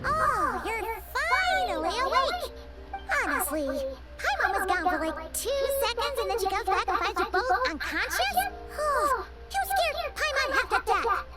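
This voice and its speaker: A woman voicing a tiny, childlike, chatty fairy girl in a video game, squeaky and exclamatory. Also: big pitch swings, unhurried, loud music behind the voice, heavily acted. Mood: relieved scolding. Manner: exclamatory, speaks of self in third person. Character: tiny childlike female fairy companion, excitable, chatty, snack-loving. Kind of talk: video game character acting.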